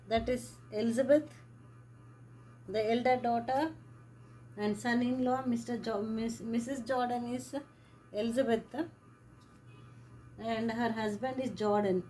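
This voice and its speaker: A woman teaching a lesson on a play, talking slowly and repeating words and phrases as she writes. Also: on the high side, fairly even intonation, warm tone, faint music behind, rough recording. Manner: slow, repeats words and phrases while writing. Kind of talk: classroom lesson explaining a play.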